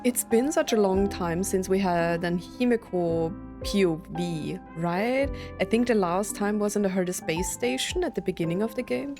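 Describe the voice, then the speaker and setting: A woman's voice: mid-range voice, normal speed, few pauses, music in the background. A woman gaming streamer giving casual, conversational reaction commentary as she plays.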